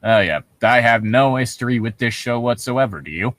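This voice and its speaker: A man's voice: mid-range voice, lively intonation, moderate pace, a bit bright, no echo. A man co-hosting a casual reading of internet horror stories, joking and swearing as he goes. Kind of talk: casual banter and reading aloud.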